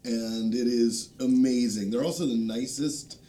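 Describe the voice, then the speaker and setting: A man with a soft-edged, mellow voice at a middle pitch talks casually as a panel guest. He feels his way through sentences with ums and pauses. A low rumble of noise sits behind him. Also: fairly even intonation. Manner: hesitant, halting delivery with frequent ums and long pauses. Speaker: guest, game designer. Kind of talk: casual panel chat, recommending video channels.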